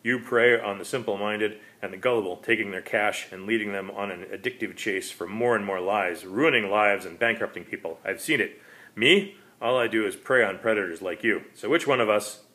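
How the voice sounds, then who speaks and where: A man's voice: fairly low pitch, normal speed. A man voicing a lonely, despairing last vampire, a polite predator, in a dramatic story reading. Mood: lonely, bitter. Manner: pleading, rising into manic shouting.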